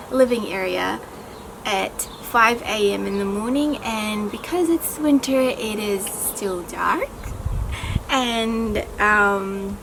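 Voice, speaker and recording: A young woman with a soft voice answers viewer questions in a casual vlog, chatty and self-interrupting, often losing her words and laughing at herself. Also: mid-range voice, creaky. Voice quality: soft, not loud. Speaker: vlogger. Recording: audible background noise.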